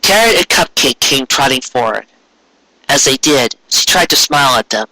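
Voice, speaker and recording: A man's voice: mid-range voice, animated, normal speed, sharp tone, no echo, poor audio. A man reading a story aloud in a dramatic narrating style. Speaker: fan fiction reader.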